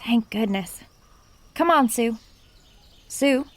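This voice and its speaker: Woman actor as a gossipy, no-nonsense friend in a radio drama: blunt, plainspoken, voice sitting a little high. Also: animated, takes it slowly, slight background noise.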